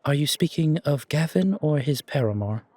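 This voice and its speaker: A man playing an elegant, wry fantasy coffee shop owner in an audio roleplay, with deliberate, formal, theatrical phrasing. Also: a little high, lively intonation, a little mellow, dry recording. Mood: amused, proud.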